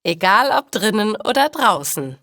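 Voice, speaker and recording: A woman's voice: medium pitch, big pitch swings, measured pace, pushed and bright, dry recording, exaggerated voice. Woman doing upbeat no-nonsense bakery lady from a storybook, emphatic, dry sound. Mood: amused. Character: cheerful, practical female bakery owner in a storybook.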